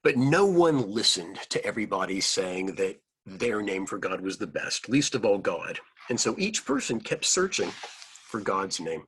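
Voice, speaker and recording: A man reading a picture book aloud to children, adding simple explanatory asides in an expressive manner. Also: fairly low pitch, normal speed, husky, poor audio.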